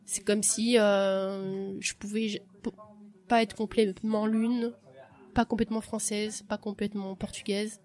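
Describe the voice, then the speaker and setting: A woman giving a personal testimony, reflective and hesitant, with frequent pauses. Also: mid-range voice, level tone, a little mellow. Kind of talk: testimony interview.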